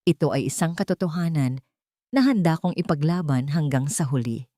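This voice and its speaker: A woman's voice: low-pitched, animated, a little fast, warm tone, dry recording, clean studio sound. A woman voicing a lovestruck male CEO in a romance audio drama, pleading earnestly and emotionally.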